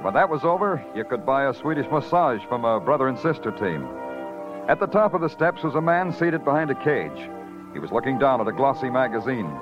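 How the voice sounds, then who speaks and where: A muffled old radio recording with music carries an actor as a world-weary, hard-boiled male detective. His mid-pitched voice is brooding and sardonic, in noir style. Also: very animated, a little fast, close to an everyday voice. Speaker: lead detective and narrator. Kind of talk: old-time radio noir narration and dialogue. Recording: dull 1950s radio recording, loud music.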